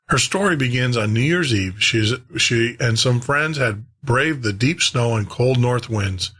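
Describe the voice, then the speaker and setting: A man's voice: mid-range voice, fairly bright tone, breathy edge. A man hosting a podcast, talking casually and conversationally as he introduces old-time radio shows, often repeating and rephrasing himself. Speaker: podcast host.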